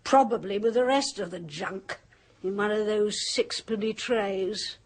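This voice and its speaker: A woman's voice: mid-range voice, unhurried, lightly acted. An actress playing a jaded woman who has faked being a spirit medium, speaking in a theatrical, mocking, clipped manner in a radio drama. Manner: theatrical, mocking, clipped upper-class delivery. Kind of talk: 1970s radio drama acting.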